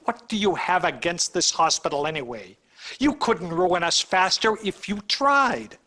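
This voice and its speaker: A man's voice: sits high, expressive, a little slow, bright, forceful tone, heavily acted. A man playing an irate, penny-pinching hospital administrator in a video game cutscene, scolding and sarcastic. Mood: scolding, exasperated.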